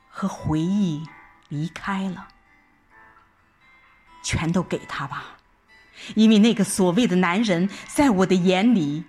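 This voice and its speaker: A woman narrates a first-person drama in an emotive, theatrical way. Her pace is slow and her pitch moves widely. Music plays softly behind her voice. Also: slightly rough. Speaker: audiobook narrator.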